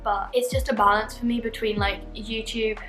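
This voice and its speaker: A woman's voice: fairly high pitch, little pitch movement, normal speed, reverberant, music in the background. A 20-year-old woman from London with a southern British English accent, chatting casually and candidly to camera as a vlogger. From London, England.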